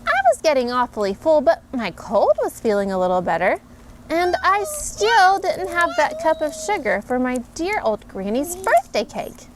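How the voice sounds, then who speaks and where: Mom reading a picture book to her kids, high voice, really bouncy and expressive. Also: moderate pace, slight background noise. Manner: animated, expressive reading with playful emphasis. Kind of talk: storybook read-aloud.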